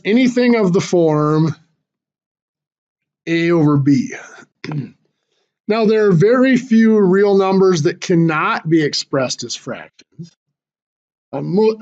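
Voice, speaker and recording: A male teacher giving a math lecture in class, hoarse and clearing his throat as he loses his voice, explaining step by step with fillers and restarts. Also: sits high, a little mellow, lo-fi sound.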